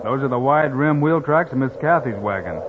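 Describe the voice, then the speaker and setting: An actor playing a steady, sharp-eyed American cowboy hero in a radio western. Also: male voice, mid-range voice, a little fast, lightly acted. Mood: steady, grave. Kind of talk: 1950s radio western acting. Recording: tinny, noisy old radio broadcast.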